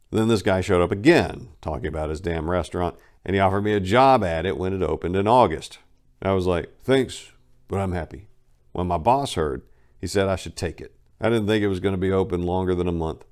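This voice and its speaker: Low deep guy reading his book out loud, chatty storyteller, spikes up on key words. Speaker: reader of a memoir. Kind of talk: dramatic reading of a first-person book.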